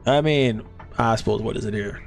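A man's voice: lowish voice, moderate pace, gravelly, faint music behind. A man from Arkansas in his thirties with a light Southern accent, streaming a game from a home gaming room and chatting loosely with viewers, swearing often. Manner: loose, profane, laid-back banter. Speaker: Twitch streamer. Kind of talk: casual live-stream chat with chat.

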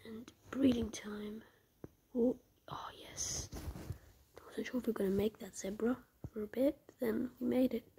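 A woman with a croaky voice, chatting in half-whispers while commenting on a mobile game she plays. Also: a little high, fairly even intonation, moderate pace, warm tone, slight background noise, rough recording. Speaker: gameplay commentator.

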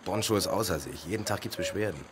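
An overworked afterlife official played by a man in a radio play, grumbling matter-of-factly. The voice is deep and quick, with clear noise in the background. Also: lightly acted. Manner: complaining, matter-of-fact.

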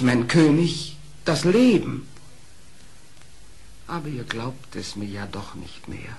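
An actor playing a sly, smooth-tongued fox in a radio play, speaking in a formal, theatrical, pleading manner. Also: male voice, medium pitch. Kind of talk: classic German radio play acting. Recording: old radio play record, noisy.

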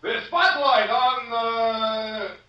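An actor playing a grandiose pageant announcer with drawn-out, declamatory delivery in vintage radio style. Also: male voice, very high voice, exaggerated voice. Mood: grand. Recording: echoey, distant, muffled old radio recording.

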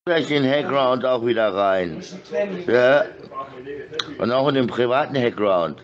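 A man's voice: mid-range voice, expressive, unhurried, muffled recording, crowd noise. A middle-aged man in a casual, rambling monologue, insistent and disjointed, repeating himself, speaking close into a phone.

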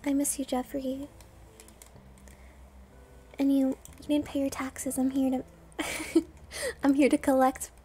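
Female game streamer, high soft-edged voice, unhurried, playful and self-deprecating chatter. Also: little pitch movement, slight background noise. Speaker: streamer playing a video game. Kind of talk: casual gameplay commentary.

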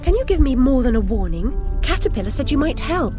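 A woman's voice: sits high, very animated, a little fast, music in the background. An actress playing a troubled, defiant young woman who doubts her own sanity, in a dramatic video game. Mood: defiant, urgent.

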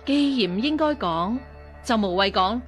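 An actress in a radio drama playing a cold, vengeful nun and martial-arts master, measured and aloof. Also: female voice, mid-range voice, expressive, faint music behind, natural voice. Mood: sceptical. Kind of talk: Cantonese radio drama acting.

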